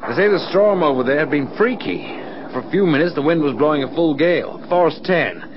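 Actor as an earnest officer, slightly high voice. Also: male voice, animated, fairly quick, lightly acted. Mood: sober reporting. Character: dutiful, earnest male air force control officer. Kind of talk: mid-century radio drama acting. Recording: muffled, hissy vintage radio recording.

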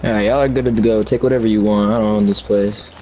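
A man's voice: fairly low pitch, fairly even intonation, fast talker, warm tone, muffled recording, natural voice. Man playing curt survivor, noisy audio. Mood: guarded. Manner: curt, guarded. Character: wary, bossy armed male survivor stranger. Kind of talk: improvised video game roleplay.

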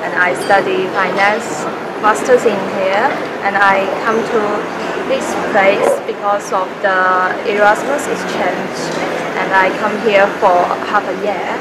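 A woman's voice: mid-range voice, fairly even intonation, a little slow. Young woman student from China, hesitant, outdoor interview, heavy background noise. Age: young adult. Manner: hesitant, many fillers. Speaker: exchange student. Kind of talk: casual interview answers. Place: outdoors by a river. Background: outdoor ambience.

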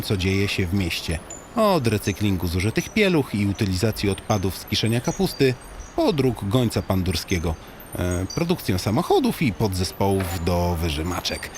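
Male comic audiobook narrator, lowish register, highly varied intonation, mock-solemn guide addressing the listener. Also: background noise. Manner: tongue-in-cheek mock-solemn storytelling with animated swings.

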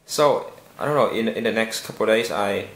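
A young man whose first language is Vietnamese, talking slowly and earnestly to the camera in a casual vlog. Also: fairly low pitch, a bit bright. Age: young adult. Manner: slow, careful and earnest, with some hesitations. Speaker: vlogger. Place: small indoor room with a bare wall. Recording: echoey room.

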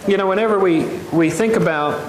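A middle-aged man preaching a lively sermon, often asking the congregation questions. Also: high-pitched, fairly quick. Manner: animated, gestures widely, asks the congregation questions. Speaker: pastor. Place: large wooden open-air church hall. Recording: echoey hall, faint noise.

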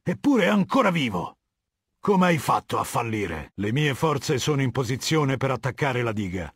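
Video game warlord, middle-aged. Husky, imperious, measured authority; dry studio sound. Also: male voice, mid-range voice, no room sound. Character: cold, commanding middle-aged male warlord of a legion. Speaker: voice actor.

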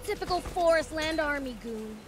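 A woman's voice: sits high, lively intonation, normal speed, music in the background. A woman playing a small, cocky young male demon prince in a video game, brash and boastful. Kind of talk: video game cutscene acting.

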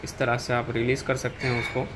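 A man's voice: medium pitch, level tone, brisk. A man with an Indian accent explaining step by step how to operate a machine while he works it, recorded on a handheld phone in a workshop. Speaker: machine seller demonstrating equipment. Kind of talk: hands-on product demonstration. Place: indoor workshop floor. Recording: loud machine and handling noise.

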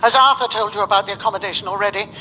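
An actress playing a brisk, caustic English airline boss in a radio sitcom, with a withering manner. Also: female voice, medium pitch, steady tone, sharp tone, long reverb tail, slight background noise, natural voice, poor audio. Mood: brisk, evasive.

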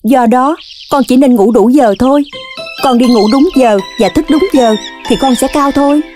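A woman plays a cartoon mama pig, caring but easily exasperated. Her voice is high and motherly, chiding her child, over prominent music. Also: moderate pace, natural voice. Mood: gently advising.

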